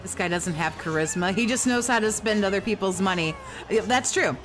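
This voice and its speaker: Woman, mid pitch, monotone delivery, rambling critical commentary, frequent swearing. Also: brisk, music in the background, rough recording. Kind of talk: reaction commentary.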